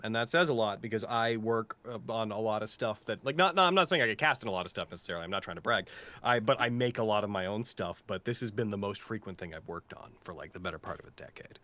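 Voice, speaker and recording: A man with a lowish voice speaks in a casual panel chat. He is earnest and heartfelt, wandering through asides and qualifications as he talks. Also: a bit bright. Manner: earnest, rambling. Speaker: cast member of an audio drama.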